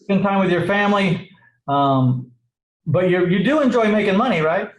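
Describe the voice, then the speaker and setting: A man's voice: high-pitched, normal speed. A man hosting a podcast in casual chat, joking and upbeat with his guest. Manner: joking, upbeat, reads ad spots. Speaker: podcast host. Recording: roomy echo.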